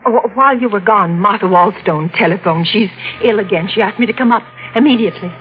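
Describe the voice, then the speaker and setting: A woman's voice: medium pitch, a little fast, slight background noise, lightly acted. Lady doing a scared 1940s radio heroine begging for help, tense, old muffled radio sound. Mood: urgent. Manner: tense and pleading, rising to desperate outbursts. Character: frightened young wife who suspects her husband is a murderer. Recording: muffled vintage radio recording.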